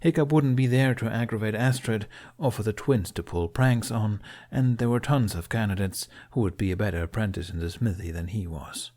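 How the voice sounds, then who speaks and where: A man with a lowish voice narrates an audiobook. His delivery is scripted, dramatic storytelling, read aloud as prose. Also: moderate pace, few pauses, warm tone.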